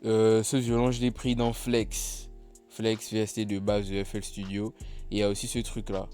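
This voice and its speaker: A beatmaker talks through his production in a loose, unhurried way, pausing often to find his words. His voice sits a little low and sounds mellow and soft-edged, with a slightly stuffy tone from a cold. Quiet music plays faintly behind him. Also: monotone. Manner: laid-back, chatty, many hesitations. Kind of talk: casual tutorial narration.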